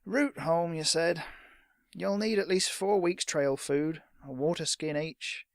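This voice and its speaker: A man's voice: a little high, slow, gravelly, no echo, clean studio sound, voice pushed up. A man doing a suspicious little shopkeeper. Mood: resigned. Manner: curt and suspicious. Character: small, stout, suspicious male shopkeeper.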